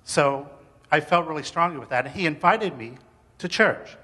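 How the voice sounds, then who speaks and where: Male testimony speaker, bright forward voice, informal and halting delivery, reverberant hall. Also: a little high, lively intonation. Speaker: speaker sharing faith story. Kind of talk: personal testimony told to an audience.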